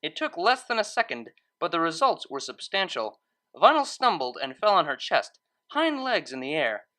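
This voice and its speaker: Bright, expressive story narrator. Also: medium pitch, normal speed. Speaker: audiobook-style fan fiction reader.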